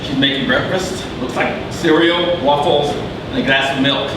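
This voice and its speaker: A man's voice: fairly even intonation, moderate pace, close to an everyday voice. A man playing an earnest surveillance agent in a staged comedy sketch reading. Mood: matter-of-fact. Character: earnest male surveillance agent, puzzled sidekick. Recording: echoey theater from far off, rumbling noise.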